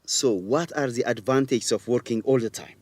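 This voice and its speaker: A man's voice: mid-range voice, normal speed, a little mellow, no room sound, close to an everyday voice. An actor playing a blunt, opinionated, teasing man in an educational radio drama. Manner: blunt, challenging.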